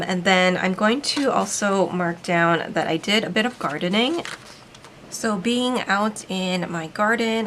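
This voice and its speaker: A woman from the Philippines casually narrating as she decorates a planner, chatty and relaxed, with frequent ums. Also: lowish voice, a little hiss or hum. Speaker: planner vlogger and shop owner.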